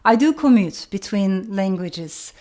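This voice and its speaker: A woman with a Turkish accent talks in an earnest, unhurried way, her native Turkish colouring her English. Her voice sits in an ordinary middle pitch, and she stresses ideas by repeating them. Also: animated, dark tone. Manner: earnest, measured, emphatic repetitions. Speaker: novelist and host. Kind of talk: reflective talk to camera.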